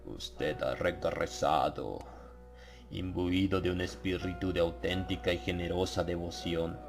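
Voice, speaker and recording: A man voicing a menacing cult brother in a horror audiobook, with a hard bass, slow solemn delivery and false warmth. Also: creaky, soft background music. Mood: ominous reverence.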